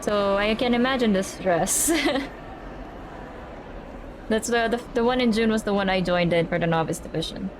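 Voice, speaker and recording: A woman's voice: mid-range voice, normal speed, long pauses. Rambling young woman streamer, noisy background. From Philippines. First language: Tagalog. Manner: rambling, restarts words, repeats "I, I". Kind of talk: casual live-stream chat with viewers.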